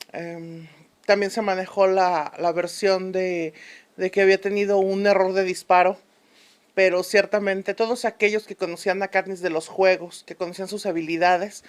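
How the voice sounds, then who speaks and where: A woman plays an unsentimental female historian being interviewed on a fictional programme. Her voice sits at a medium pitch. She explains things deliberately and with authority, in radio drama style. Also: clean tone, lightly acted.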